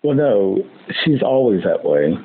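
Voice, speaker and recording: A man chatting casually as a podcast co-host, halting as he searches for his words. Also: on the low side, big pitch swings. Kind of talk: casual chat. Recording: tinny phone-like line with clear noise.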